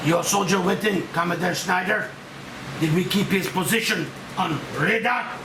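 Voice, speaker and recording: A man's voice: sits high, moderate pace. A man reading the part of a wary, weary doctor on a rescue mission at a script table read. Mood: grim, wry. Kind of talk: amateur screenplay table read. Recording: distant mic, echoey noisy room.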